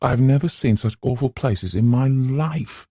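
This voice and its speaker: A man's voice: mid-range voice, unhurried, warm tone, husky, no echo, voice pushed up. A male narrator plays an anxious young woman in a storybook reading. The voice is lifted well above his natural register, breathless and eager, chattering nervously in a dry, close sound.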